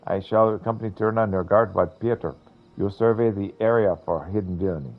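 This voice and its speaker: A man's voice: fairly low pitch, a little fast, narrow, tinny audio, faint music behind, lightly acted. A man voicing a formal, fearful adventurer who tells things in florid, dramatic style. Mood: resolute. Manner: grandiloquent, dramatic.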